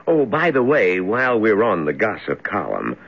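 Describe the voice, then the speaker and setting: An actor playing a wry young hospital intern in an old radio drama, with a jaunty, gossipy manner. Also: male voice, lowish voice, huge pitch swings, moderate pace, vocal fry, husky, full character voice. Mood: teasing. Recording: dull old radio broadcast recording.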